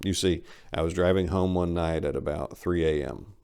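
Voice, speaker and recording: A man performing a short story as a wry, rambling, incredulous accident survivor, animated and emphatic in a conversational way. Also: sits low, normal speed, creaky, clean tone. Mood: dramatic recounting. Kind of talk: dramatic reading of a short story.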